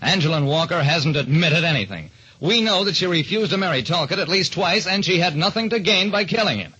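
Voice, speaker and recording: A man's voice: fairly high pitch, animated, a little fast, sharp tone, breathy edge, exaggerated voice. An actor, a man, playing a hard-boiled private detective who narrates his case in a clipped, dramatic old-radio style. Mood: brisk, sardonic. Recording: dull old-time radio recording.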